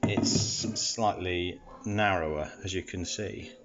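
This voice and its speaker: A man's voice: low-pitched, slow, lo-fi sound, over music. A man casually explaining a home renovation job step by step, with frequent fillers. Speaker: DIY renovation vlogger. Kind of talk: casual how-to narration. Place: house under renovation.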